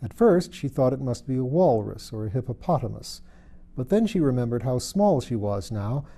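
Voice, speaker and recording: A man's voice: mid-range voice, big pitch swings, normal speed, gravelly, dark tone. A man reading a children's storybook aloud as scripted narration. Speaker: audiobook reader.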